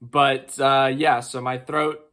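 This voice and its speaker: A man with strep throat giving a casual, chatty race recap, excitable and full of fillers. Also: medium pitch, takes it slowly. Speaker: racing vlogger.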